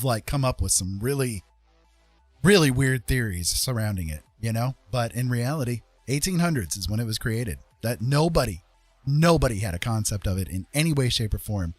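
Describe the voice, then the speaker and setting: A man's voice: mid-range voice, no echo. A man hosting a casual livestream, talking in an earnest, emphatic monologue and repeating phrases for stress. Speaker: livestream host.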